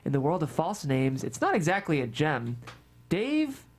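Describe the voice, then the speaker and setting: Animated screenplay narrator. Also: mid-range voice, normal speed. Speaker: stage-direction narrator. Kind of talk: scripted narration at a table read.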